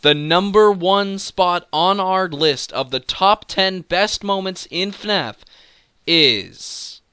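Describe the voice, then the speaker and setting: A man's voice: sits high, takes it slowly, sharp tone, smooth, dry recording, studio quality. A man hosting a video game top-ten countdown, speaking with emphatic, enthusiastic commentary and dramatic build-ups. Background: music.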